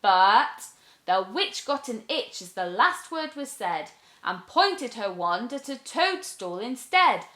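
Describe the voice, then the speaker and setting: A woman reading a rhyming children's picture book aloud as an expressive storyteller. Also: on the high side, slow, roomy sound.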